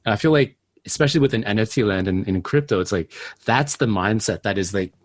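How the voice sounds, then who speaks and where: A man's voice: on the low side, animated, fast, dry recording, clean studio sound. A man co-hosting a podcast interview, chatting loosely and rambling with lots of filler words. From United States. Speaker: podcast co-host. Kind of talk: casual interview chat.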